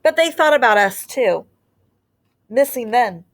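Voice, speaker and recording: A woman reading a children's picture book aloud like a teacher, with expressive storytelling and deliberate, drawn-out phrasing. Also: fairly high pitch.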